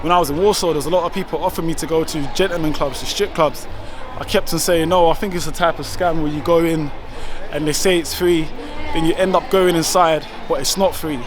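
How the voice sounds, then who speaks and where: A man's voice: fairly high pitch, steady tone, brisk, music in the background. A man from London with a London accent, chatting casually as a travel vlogger and street interviewer, informal, familiar and often repeating himself. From London, England. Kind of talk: casual vlog chat. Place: outdoors on a city street. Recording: noisy street, traffic and crowd noise.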